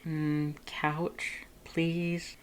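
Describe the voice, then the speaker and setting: An affectionate male skeleton monster from an audio story, voiced by a narrator, pitched up from the narration. He purrs and murmurs endearments, flirty and soothing. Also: flat delivery, extremely slow, clean tone. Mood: coy. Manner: purring, murmuring, coaxing with endearments.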